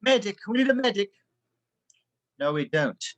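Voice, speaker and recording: A man playing a stern, dry-witted spy instructor in an amateur table read, crisp and matter-of-fact. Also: sits high, sing-song, moderate pace, muffled recording. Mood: brisk, dry.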